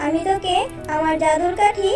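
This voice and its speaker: An earnest young fairy, voiced by a woman for a children's cartoon, extremely high in pitch. She sounds roomy and set back from the mic, with music behind. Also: steady tone, moderate pace, distant mic. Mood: defiant. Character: kind, grateful, defiant young female fairy.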